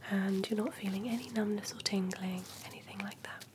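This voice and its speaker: A woman whispering breathily in an ASMR doctor roleplay, speaking gently and hesitantly like an attentive clinician. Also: medium pitch, fairly even intonation, a little fast. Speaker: ASMR performer playing an eye doctor. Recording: clear background noise and ambience.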